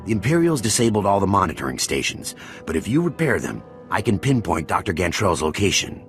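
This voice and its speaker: An actor playing a capable, helpful technical officer in a video game, briskly giving information. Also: male voice, deep voice, sing-song, fairly bright tone, soft background music, heavily acted. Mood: focused, concerned. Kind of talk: video game briefing dialogue.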